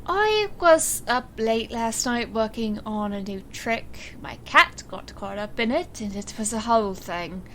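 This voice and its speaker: A woman's voice: fairly high pitch, expressive, unhurried, hardly any pauses, bright, forceful tone. A woman playing a weary, sardonic stage magician and capable assistant in an audio drama, with dry delivery. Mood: tired. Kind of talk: audio drama character acting. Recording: faint noise.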